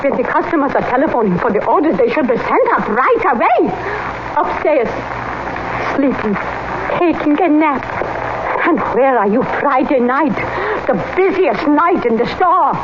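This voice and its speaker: A shrill, scolding wife. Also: female voice, lively intonation, normal speed. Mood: bitter. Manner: sharp, sarcastic scolding. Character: scolding, exasperated wife, fed up with husband. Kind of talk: 1940s radio acting. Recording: hissy, muffled old radio broadcast.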